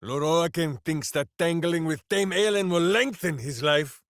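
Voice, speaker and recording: An actor voicing a hearty, simple-minded, boastful heroic berserker in a video game, with a bombastic, theatrical way of talking. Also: male voice, a little high, measured pace, dry recording, studio quality. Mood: hearty, blustering. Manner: bombastic, theatrical, speaks of himself in third person. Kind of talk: video game character voice lines.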